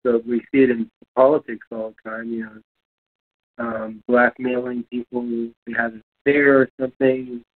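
A man's voice: medium pitch, level tone, moderate pace. A man in casual discussion, speaking hesitantly and searching for his words. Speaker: discussion panelist. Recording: thin phone-like line.

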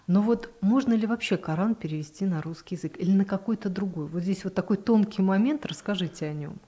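Middle-aged lady host, calm, asks questions, studio desk mic. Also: mid-range voice, fast talker, breathy edge. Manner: composed, leading questions. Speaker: interview host. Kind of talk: studio interview. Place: small television studio. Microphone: desk mic on stand.

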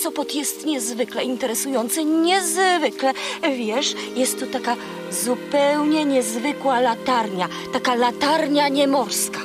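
A woman's voice: sits high, a little slow, music in the background. A woman voicing a boastful, chatty, hungry female seagull in a children's audio play, haughty and dramatic. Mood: boastful storytelling.

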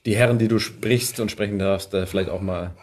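A man's voice: on the low side, fairly even intonation, a little fast, darkish tone. A man in his thirties hosting an interview in a casual, conversational style. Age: 30s. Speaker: podcast host, interviewer.

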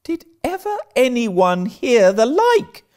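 A man voicing a stern, no-nonsense older woman in a storybook, brisk, scolding and incredulous. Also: mid-range voice, huge pitch swings, darkish tone, pitched above the performer's own voice. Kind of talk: storybook character reading.

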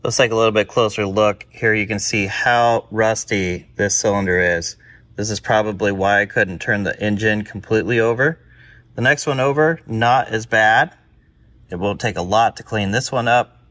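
A middle-aged man hosting a workshop show, explaining plainly and step by step as he works with his hands in a large indoor garage. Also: lowish voice. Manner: plain, matter-of-fact explaining while working. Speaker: host.

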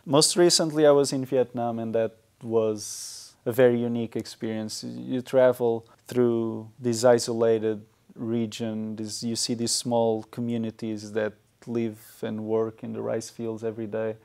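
Male guest, Portuguese accent, thirties, gravelly and mellow, in conversational interview. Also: medium pitch, level tone, measured pace, hardly any pauses. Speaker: interview guest, software tech lead. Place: small indoor talk show studio.

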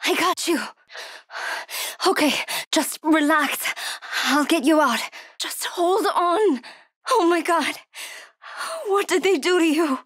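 A woman's voice: extremely high pitch, slow pace, pauses often, fairly bright tone, no room sound, clean studio sound, full character voice. An actress playing a frightened young woman survivor in a horror video game, urgent and pleading. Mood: relieved, concerned. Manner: breathy urgent self-talk, pleading.

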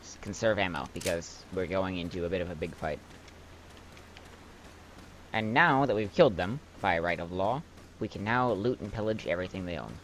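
Young male gamer, low voice that jumps around a lot, wry rambling asides, noisy home mic. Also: lively intonation, normal speed, long pauses, a bit bright. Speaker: let's play commentator. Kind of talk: casual gameplay commentary.

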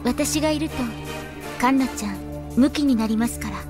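A woman voices a deadpan young anime crewwoman. She speaks in a high, matter-of-fact voice with short clipped phrases. Clear music sits behind her. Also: fairly quick, lightly acted. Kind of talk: anime audio drama acting.

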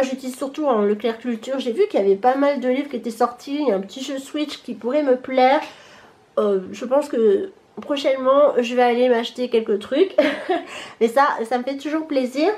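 A high-pitched woman chats to a camera at home, her voice stuffy from a blocked nose. She rambles through her gifts with plenty of "euh"s, relaxed and talkative. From Bordeaux, France. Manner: chatty, rambling, frequent fillers. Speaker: vlogger. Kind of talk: casual haul chat to camera. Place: home room with shelves and Christmas decor, indoors.

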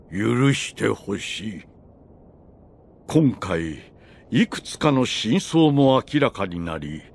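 An actor playing a stern, solemn old wizard master in a Japanese game, speaking gravely and ceremonially. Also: male voice, medium pitch, heavily acted. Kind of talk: formal Japanese game cutscene acting.

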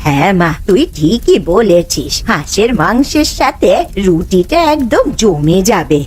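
A woman voicing a kindly, confident old village aunt in a cartoon, speaking slowly and without hurry. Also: lowish voice, big pitch swings, darkish tone, a little hiss or hum. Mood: calm, assured. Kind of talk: Bengali animated ghost-story voice acting.

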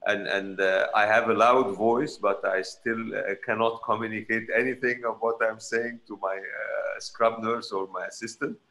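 A middle-aged man on a video call from home, speaking in a halting, emphatic way. His voice is low and gravelly, with a bright, forceful edge. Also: steady tone. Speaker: surgeon panelist. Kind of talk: panel discussion over video call. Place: home room with curtains. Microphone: video call, computer mic.

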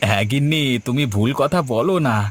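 A man's voice: medium pitch, expressive, fairly quick, lightly acted. A man voicing a humble, eager village eatery owner in a cartoon story. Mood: content. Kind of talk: Bengali cartoon story dialogue.

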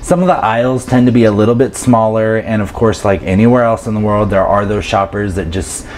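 A man in his thirties chats to his camera in a small apartment room. His voice sits on the low side, and he talks in an animated, chatty way with frequent laughs. A faint background hiss runs under the close camera mic. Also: brisk. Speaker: travel vlogger. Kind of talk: casual talk to camera. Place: grocery store, then small apartment room indoors. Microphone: camera mic, handheld then fixed camera close up.

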